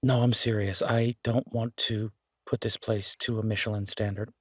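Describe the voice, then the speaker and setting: Easygoing chef, husky and close-miked, played by a man. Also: lowish voice, level tone, moderate pace, no room sound, natural voice. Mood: relaxed, affectionate. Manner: casual, folksy, reassuring. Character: easygoing down-home male chef, affectionate restaurant owner. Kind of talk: audio roleplay acting.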